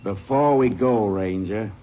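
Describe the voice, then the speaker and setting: A man's voice: on the low side, close to an everyday voice. An actor playing a nervous, defensive male murder suspect who hesitates and hurries his answers, in 1940s radio drama style. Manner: defensive, hesitant and quick when pressed. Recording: dull old radio recording with faint hiss.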